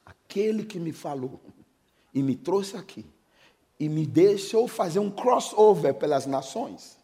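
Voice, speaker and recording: High-voiced Brazilian pastor preaching in a reverberant hall. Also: male voice, lively intonation, measured pace. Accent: Brazilian Portuguese. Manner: swings from hushed storytelling to shouted preaching. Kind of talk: sermon with personal testimony. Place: church hall. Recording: echoing hall, long reverb.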